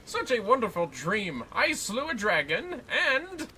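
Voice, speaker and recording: A performer voicing a pompous, theatrical gentleman inspector who fancies himself an undead overlord, with florid, melodramatic speech. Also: medium pitch, wildly swooping pitch, a little slow, a little hiss or hum, exaggerated voice. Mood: dazed. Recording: music behind the voice.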